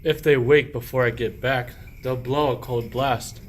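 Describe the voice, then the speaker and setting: A man's voice: mid-range voice, steady tone, measured pace, natural voice. A man acting a sly, henpecked husband and sheep thief in a comic verse radio play, declaiming in rhyme. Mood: scheming, furtive.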